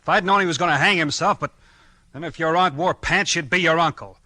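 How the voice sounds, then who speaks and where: Bright-voiced, hard-boiled police chief. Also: male voice, fairly high pitch, brisk, no echo. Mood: guarded. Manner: terse, bossy, tough-talking. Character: gruff, hard-boiled small-town police chief, tough and weary. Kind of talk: 1940s radio crime drama acting. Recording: vintage 1940s radio drama recording.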